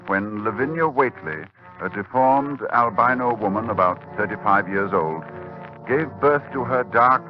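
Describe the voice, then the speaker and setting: Solemn, learned professor, low and dramatic, warning of horror on a muffled old radio recording with faint music. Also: male voice. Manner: formal, solemn, dramatic, halting with dread. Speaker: narrator. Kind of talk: 1940s radio drama narration.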